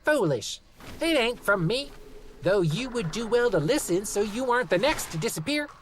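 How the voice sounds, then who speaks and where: A man's voice: high voice, big pitch swings, normal speed, a little hiss or hum, voice pushed up. A man voicing a rude, blunt male postal clerk in a storybook reading. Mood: curt.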